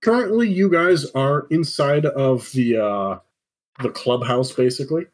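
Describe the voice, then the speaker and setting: A man's voice: medium pitch, a little slow. A man running a tabletop game, narrating casually and informally. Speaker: game master.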